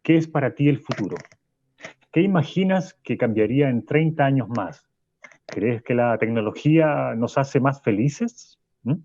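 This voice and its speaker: Halting Chilean professor, slightly muffled. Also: male voice, medium pitch, lively intonation, a little mellow, no echo. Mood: reflective. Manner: halting, rambling, stammering. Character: male professor, weary expert guest in a bleak future. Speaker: interview guest. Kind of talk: radio drama interview.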